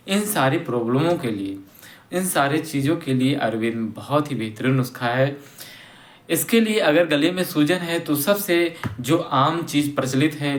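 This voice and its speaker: A man hosting a health channel, giving plain instructions and often pausing to correct himself. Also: mid-range voice. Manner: plain and instructive, with many pauses and self-corrections. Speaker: Ayurveda health channel host. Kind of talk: informal explanatory talk to camera.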